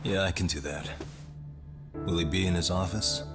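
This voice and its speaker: An actor playing a calm, protective detective in a game drama, talking in a measured, matter-of-fact way. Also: male voice, low voice, over music, close to an everyday voice. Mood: subdued. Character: calm, steady male detective, frank and protective. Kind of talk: dramatic game cutscene dub acting.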